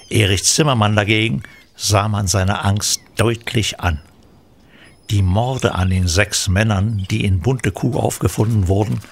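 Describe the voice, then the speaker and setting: An actor narrating a historical crime audio drama in a grave, measured manner. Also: male voice, on the low side, a little hiss or hum. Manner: measured, solemn. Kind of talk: scripted narration.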